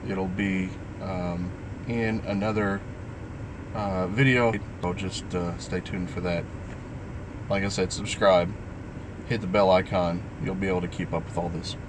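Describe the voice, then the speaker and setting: A middle-aged man talking to his vlog audience in a halting, reflective, earnest way. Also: sits low, fairly even intonation, noisy background. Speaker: vlogger. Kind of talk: personal vlog talk, live stream.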